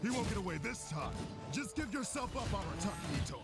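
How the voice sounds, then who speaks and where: An actor playing an aggressive soldier barking orders in a game. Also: male voice, sits high, very animated, fairly quick. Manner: barks orders. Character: shouting soldier of a commission, aggressive. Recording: game audio with loud music.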